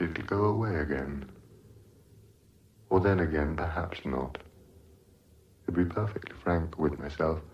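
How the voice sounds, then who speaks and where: An actor playing a gloomy, depressed robot in a radio comedy, droning with hollow, self-pitying deadpan. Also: male voice, very deep voice, muffled recording, a little hiss or hum. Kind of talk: radio comedy drama acting.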